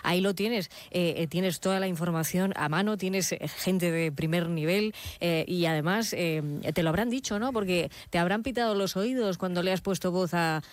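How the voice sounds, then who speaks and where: A woman's voice: fairly low pitch, moderate pace, hardly any pauses, no echo. A woman with an accent from Spain hosting live radio in a chatty way, often repeating phrases for emphasis. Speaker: radio host.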